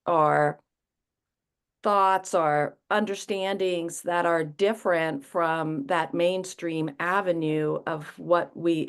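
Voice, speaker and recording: A woman author on a panel, speaking in a thoughtful and measured way. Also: low-pitched, clean tone. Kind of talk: reflective conversation.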